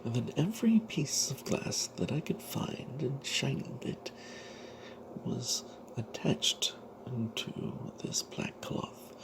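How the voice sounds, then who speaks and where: A man narrating a fantasy tale in breathy ASMR whispers, in character as a wry, suspicious adventuring cleric, with a halting, hushed delivery. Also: medium pitch, sing-song, low-quality recording.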